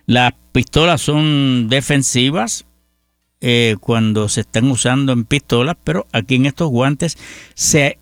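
A man's voice: medium pitch, a bit bright, no echo, clean studio sound. A middle-aged Puerto Rican man with a Puerto Rican accent hosting a news roundup, chatty and folksy, talking to listeners as friends. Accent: Puerto Rican Spanish. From Puerto Rico. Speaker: radio news host. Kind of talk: news roundup with personal commentary.

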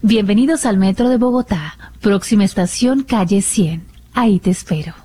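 A woman's voice: mid-range voice, moderate pace, mellow, slight background noise. A woman on a radio show, chatting casually with a flustered, self-deprecating manner. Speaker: radio presenter. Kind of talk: casual studio banter.

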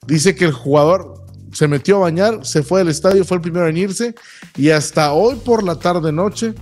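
A man runs through sports results on a radio morning show, brisk and colloquial with a joking edge. His voice is high for a man. Faint music sits under the talk. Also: darkish tone. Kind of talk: sports news rundown and banter.

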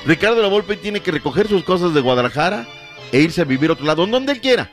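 A man's voice: sits high, sing-song, fast talker, faint music behind. A man hosting an opinionated sports discussion show, speaking emphatically and rhetorically with formal turns of phrase. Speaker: sports talk show host.

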